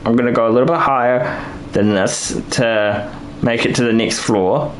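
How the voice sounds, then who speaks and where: A man's voice: medium pitch, fairly even intonation, normal speed, a little hiss or hum, poor audio. A man live-streaming a building game, rambling and thinking aloud with lots of restarts while he is losing his voice.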